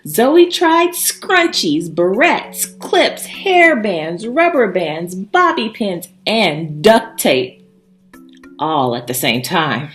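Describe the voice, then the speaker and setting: A woman reading a children's story aloud in an expressive, emphatic way, pausing for drama between short phrases. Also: medium pitch. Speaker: storybook reader. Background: background music.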